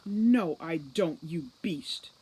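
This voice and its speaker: A woman's voice: low voice, lively intonation, drawn-out words, warm tone, husky, full character voice, rough recording. A woman voicing a feisty young female guard in a storybook, bossy, scolding and mocking. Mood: irritated.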